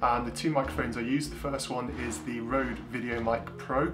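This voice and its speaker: Young male vlogger, deep voice, flat delivery, echoey room, guitar music under. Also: moderate pace. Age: young adult. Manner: frequent ums, steady explaining tone. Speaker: retro gaming YouTuber. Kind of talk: casual tutorial talk to camera. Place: small indoor room. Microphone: camera-mounted shotgun mic. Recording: roomy echo with guitar music behind.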